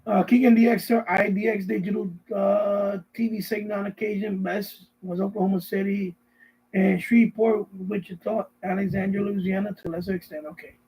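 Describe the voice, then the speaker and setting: A middle-aged man hosting a casual live stream, rambling and often repeating himself, with a husky voice. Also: high voice, level tone, a little fast, a little mellow, poor audio. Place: basement.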